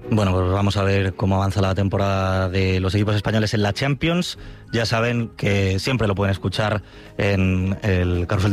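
Low-voiced Spanish radio host, a man. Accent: Spanish from Spain. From Yecla, Murcia region, Spain. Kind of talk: conversational radio presenting.

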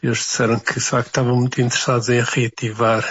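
A man's voice: mid-range voice, little pitch movement, quick pace, a bit bright, slightly rough, dry recording, highs cut off. A man with a European Portuguese accent giving spontaneous interview answers as a community association leader.

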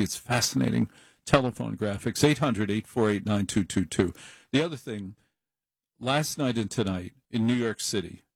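A man's voice: on the low side, dry recording. Chatty late-night radio host man. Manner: rambling, chatty, self-deprecating. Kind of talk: casual monologue on call-in radio. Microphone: studio microphone.

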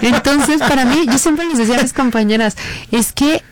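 A woman's voice: sits high, moderate pace. A Mexican woman in her fifties, a lawyer and public servant, talking earnestly in a radio interview with many fillers and restarts. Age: 50s. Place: radio booth.

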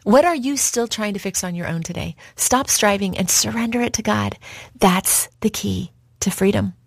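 A woman hosts a brief radio devotional and tells a family story in a personal way. Her voice sits at a middle pitch and is recorded very dry, with no room sound at all. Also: normal speed, gravelly, husky, no echo. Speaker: radio host. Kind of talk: scripted devotional spot.

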